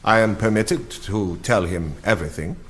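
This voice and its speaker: An actor playing a deferential, measured advisor in an audio drama, speaking formally and with careful politeness. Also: male voice, lowish voice, breathy edge. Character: deferential, measured male advisor to a powerful protégé. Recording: loud music behind.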